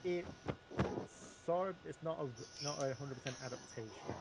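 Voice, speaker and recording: A man's voice: fairly high pitch, moderate pace. A man giving casual opinions as a panelist in an online group discussion, with a noisy connection. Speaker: talk show panelist.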